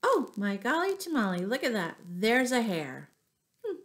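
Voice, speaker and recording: A middle-aged woman reading a picture book aloud to young children, with deliberate, expressive phrasing and little asides to her listeners. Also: on the high side. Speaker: children's storyteller, teacher. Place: small furnished room at home.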